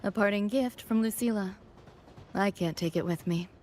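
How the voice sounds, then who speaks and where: A woman's voice: mid-range voice, normal speed, a little mellow, slight background noise, natural voice. A woman playing a cool, wry young mercenary in a video game, guarded but caring, with clipped, slangy lines. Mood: wistful, resigned. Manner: laconic, clipped slangy delivery. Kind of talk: video game story dialogue.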